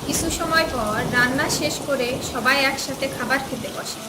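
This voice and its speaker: A woman's voice: sits high, moderate pace, very noisy. A woman narrating a scripted cartoon story, with rain sounds behind her. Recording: echoey, music and rain underneath.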